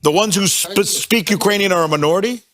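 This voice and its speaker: A male host cuts in with quick follow-up questions. He talks a little higher than most men and keeps pressing with questions. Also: a bit bright. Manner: interrupting, probing.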